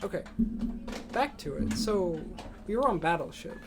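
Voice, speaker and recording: A man's voice: high voice, lively intonation, normal speed. A young man co-hosting a student podcast, discussing movies in a casual, conversational way. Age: young adult. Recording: noticeable background room noise.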